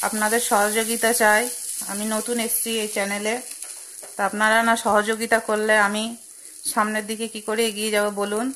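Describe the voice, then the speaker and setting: A woman's voice: medium pitch, monotone, fairly quick, fairly bright tone, poor audio. Chatty woman cooking at home. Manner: chatty, explains each step with fillers and asides. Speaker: cooking channel host. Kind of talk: casual cooking demonstration voice-over. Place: home kitchen at a gas stove. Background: frying and sizzling from the pan.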